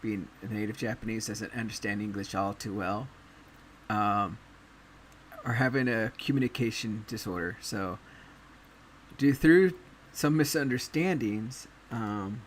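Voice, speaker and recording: A man hosting a casual anime chat, talking in a relaxed way with many fillers and pauses. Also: on the low side, slight background noise. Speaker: podcast host.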